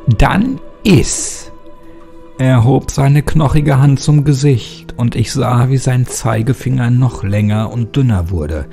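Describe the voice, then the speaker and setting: Low, dark-voiced man narrating horror. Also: creaky. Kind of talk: scripted dramatic narration. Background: music.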